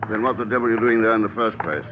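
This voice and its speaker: An actor playing a tough, wisecracking spy in an old radio drama, talking in a dry, brisk way. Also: male voice, fairly low pitch, lightly acted. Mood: wry. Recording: muffled old radio broadcast with hiss.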